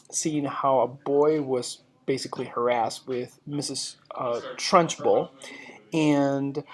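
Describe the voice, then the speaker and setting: A teacher giving instructions and reading a storybook aloud in a deliberate, expressive, explanatory way. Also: medium pitch, slight background noise.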